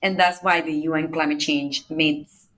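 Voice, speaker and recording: A woman from the Republic, giving measured step-by-step answers as a podcast guest. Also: deep voice, steady tone, fairly bright tone. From Dominican Republic. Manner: measured, explains step by step with small restarts. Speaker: climate negotiator, podcast guest. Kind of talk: explanatory interview answers.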